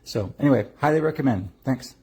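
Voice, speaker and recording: A man's voice: mid-range voice, lively intonation, brisk, a little mellow, husky. A middle-aged man giving a casual spoken product review.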